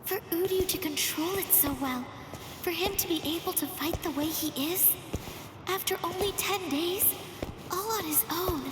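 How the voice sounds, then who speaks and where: A woman voicing a gentle, earnest teenage girl in an anime game, thoughtful and sincere. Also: very high-pitched, normal speed, noisy background. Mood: wondering, reflective. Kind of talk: anime game cutscene dubbing. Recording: echoing reverb, music behind.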